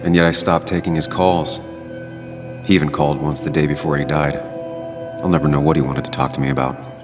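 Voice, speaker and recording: An actor playing a melancholy, cynical former journalist in a subdued, introspective game monologue. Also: male voice, very deep voice, flat delivery, a little fast. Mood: regretful, introspective. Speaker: game protagonist narrator. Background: music.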